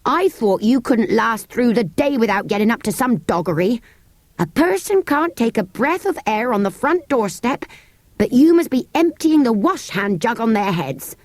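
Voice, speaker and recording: A fierce housemaid acted out by a woman narrator scolds in a sharp, indignant way. Her pitch swings widely, in the manner of a storybook servant who has had enough. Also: sing-song, normal speed, dry recording. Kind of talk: children's storybook character reading.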